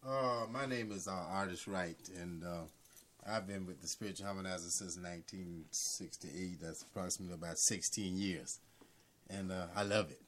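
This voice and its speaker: A man in his thirties introducing himself haltingly, with a lot of pauses. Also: deep voice, a bit bright, slight background noise. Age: 30s. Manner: halting, many uh's. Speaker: gospel group singer. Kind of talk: casual introduction.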